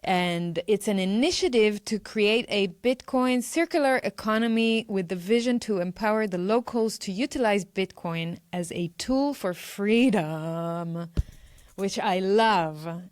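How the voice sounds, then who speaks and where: A woman hosting a podcast interview. She speaks with enthusiasm and affection toward her guest in a smooth, clear voice. The recording is dry and close. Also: medium pitch, measured pace, no echo. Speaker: podcast host.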